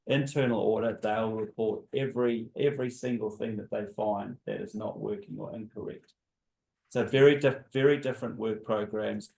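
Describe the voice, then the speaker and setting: Gravelly low-voiced man explaining audit work. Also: a little mellow, low-quality recording. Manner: measured, repeats words while explaining. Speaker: council staff presenting audit report. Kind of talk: formal meeting explanation. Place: council chamber meeting.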